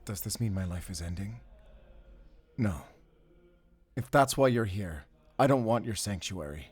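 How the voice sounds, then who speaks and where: A man playing a gravely wounded, stubborn monster hunter, speaking in terse, faint lines as if dying, in an audio roleplay. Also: fairly low pitch, expressive, a little slow. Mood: fearful, defiant. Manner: terse, resolute, weak. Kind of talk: cinematic audio roleplay acting.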